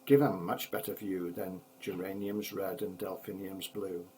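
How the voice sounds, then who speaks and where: Older man playing storybook doctor, fussy, pompous, self-satisfied, lowish voice, faint recording noise. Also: fairly even intonation, measured pace, a little mellow. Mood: confident prescribing.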